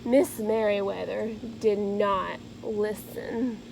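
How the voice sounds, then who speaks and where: A woman narrating a children's storybook aloud in an expressive, deliberate way. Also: mid-range voice, vocal fry, a little hiss or hum, poor audio.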